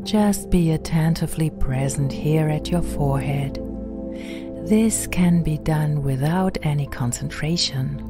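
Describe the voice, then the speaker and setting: Slow, low-voiced woman guiding meditation. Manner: gentle, soothing, unhurried, softly spoken with breathy passages. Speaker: meditation guide and podcast host. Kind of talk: guided meditation narration. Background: background music.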